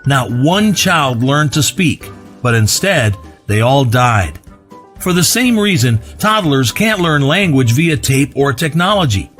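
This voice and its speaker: A man reading a scripted educational video narration in a measured explainer manner. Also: medium pitch, faint music behind. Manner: even, measured explainer read.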